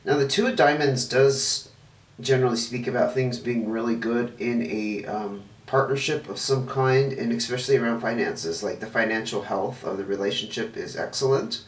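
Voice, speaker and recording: A man's voice: mid-range voice, normal speed. A man hosting his own channel, giving a casual tarot reading to camera, chatty and thinking aloud while he lays out cards, a bit stuffy and sniffling. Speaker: tarot reader, channel host. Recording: roomy, distant mic, faint noise.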